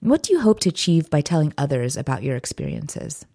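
A woman interviewer reading scripted questions in a formal, measured way. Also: sits low, sing-song, dark tone, no echo, clean studio sound.

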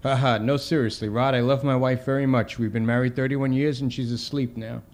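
A man's voice: medium pitch, fairly even intonation, brisk, a little mellow, lightly acted. A man voicing an old married man phoning in, cranky and joking, with old-fashioned slang.

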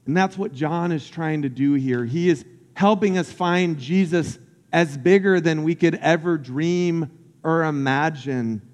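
A man's voice: on the high side, unhurried, in a large hall. A man from Central Florida, a pastor giving an earnest, personal sermon that builds in intensity.

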